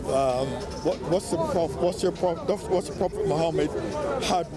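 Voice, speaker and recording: Halting man in his seventies. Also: fairly high pitch, loud music behind the voice. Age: 70s. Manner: halting, searches for words. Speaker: passer-by. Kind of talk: street debate. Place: outdoors on a busy street. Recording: loud street crowd noise. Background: street crowd.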